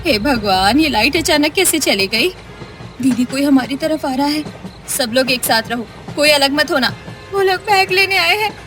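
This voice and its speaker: A woman's voice: sits high, lively intonation, normal speed, a bit bright, faint music behind. A woman playing frightened, protective young female relatives in a melodramatic story, anxious and urgent. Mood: fearful.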